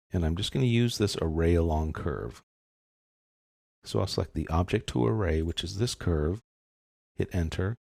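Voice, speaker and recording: Low, gravelly-voiced man giving a tutorial. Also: a little mellow, dry recording, clean studio sound. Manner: casual, informal explaining. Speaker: software tutorial instructor. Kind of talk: step-by-step screen tutorial narration.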